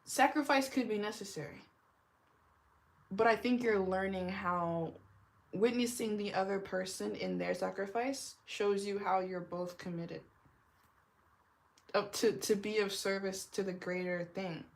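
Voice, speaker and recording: A young woman with a deep, smoky voice that she puts down to years of smoking. She gives a loose, rambling tarot reading, filling her sentences with "like" and trailing off mid-thought. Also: a little slow, vocal fry, rough recording. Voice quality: deep smoker's voice. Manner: rambling, heavy use of "like", laughs often. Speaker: tarot reader. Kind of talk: casual tarot reading vlog.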